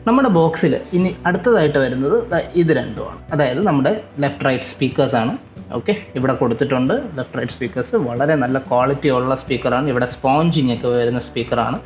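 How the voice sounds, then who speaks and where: A man's voice: medium pitch, fast, faint music behind. A man giving a casual, explanatory unboxing review, often repeating and rephrasing himself as he goes. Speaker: tech vlogger and product reviewer.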